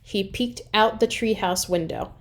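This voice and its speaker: Expressive woman reading a children's story. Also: mid-range voice, a little slow. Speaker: reader of a children's chapter book. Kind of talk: expressive read-aloud storytelling.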